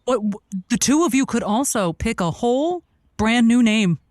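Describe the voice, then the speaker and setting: A woman's voice: a little high, expressive, moderate pace, darkish tone, no echo, studio quality, lightly acted. A woman playing an eager, inexperienced late-night radio host who rambles and stammers with chirpy politeness in an audio comedy. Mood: encouraging, rambling. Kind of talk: audio comedy drama acting.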